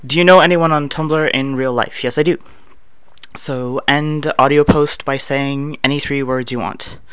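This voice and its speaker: A man's voice: mid-range voice, unhurried, smooth, no room sound. A Canadian man in his thirties casually reading survey prompts aloud and answering them with dry, offhand humor. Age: 30s. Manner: relaxed, offhand, dry humor with many filler uhs. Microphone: no proper microphone, home setup.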